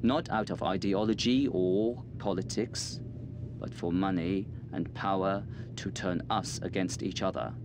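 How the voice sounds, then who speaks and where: An actor playing a guarded middle-aged intelligence colonel in a video game, with a formal, measured delivery. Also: male voice, sits low, steady tone, background noise. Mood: grave, persuasive. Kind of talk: dramatic video game dialogue.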